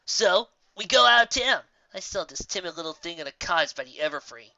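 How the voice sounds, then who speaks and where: A woman's voice: fairly low pitch, expressive, a little fast, creaky, sharp tone, no echo, highs cut off, pitched above the performer's own voice, heavily acted. A woman voicing a lazy, mean-spirited female griffin prankster in a storybook, boastful and scornful. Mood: scheming.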